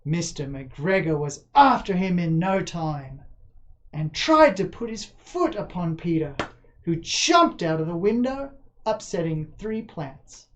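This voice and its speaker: A woman reading a children's story aloud as scripted narration, deliberately, with dramatic emphasis on key words. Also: fairly low pitch, very animated, muffled recording. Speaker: storybook reader.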